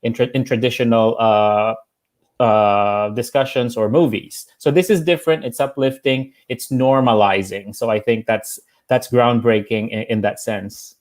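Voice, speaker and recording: A man with an average-pitched voice speaks as a panelist over a video call. He talks thoughtfully, often pausing on "uh". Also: fairly even intonation. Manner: thoughtful, with frequent "uh"s. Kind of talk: panel discussion.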